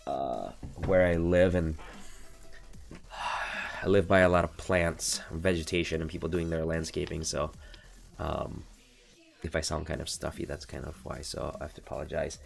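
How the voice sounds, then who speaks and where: A deep, gravelly American man with a stuffy nose from allergies. He streams from his home desk and rambles casually, full of ums and restarts. Faint music sits low behind him. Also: steady tone, moderate pace, pauses often. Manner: rambling, many fillers and self-corrections. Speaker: streamer reviewing card game accessories. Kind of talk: casual product review livestream.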